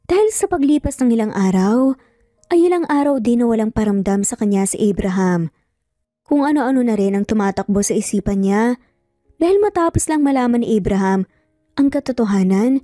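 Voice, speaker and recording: Mellow female storyteller over music. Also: a little high, no echo, studio quality. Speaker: storyteller, narrator. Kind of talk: scripted dramatic story narration. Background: background music.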